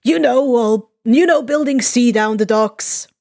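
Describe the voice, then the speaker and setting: A woman's voice: fairly high pitch, a little slow, a little mellow, no room sound, studio quality. A woman voicing a sly male underworld broker with a teasing, drawling delivery, in a story reading. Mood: amused.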